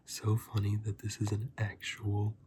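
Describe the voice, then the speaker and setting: A man giving a softly spoken, often whispered ASMR review in a casual, hushed, breathy voice, repeating phrases for emphasis. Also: fairly low pitch, monotone, a little slow, slight background noise. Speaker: video host and reviewer.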